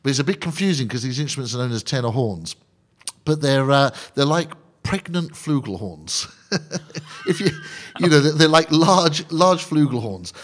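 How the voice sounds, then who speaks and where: A male conductor talking as a guest on a radio interview, with a voice of middling pitch. He explains with eager energy, cracks jokes and drifts into asides. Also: expressive, moderate pace. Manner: enthusiastic, jokey, digressive explainer.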